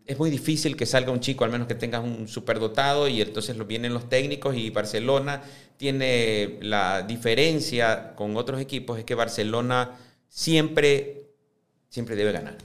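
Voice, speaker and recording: A man in his fifties answering interview questions on a podcast, speaking in a measured way that often restarts and repeats words as he builds his points. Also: medium pitch. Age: 50s. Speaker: club presidential candidate.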